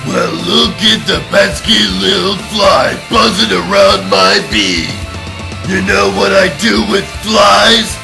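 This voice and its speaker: A man's voice: high-pitched, animated, takes it slowly, vocal fry, over music, full character voice. A man voicing a huge, boastful monster frog villain with pompous puns in a comic book cartoon dub. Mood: boastful menace.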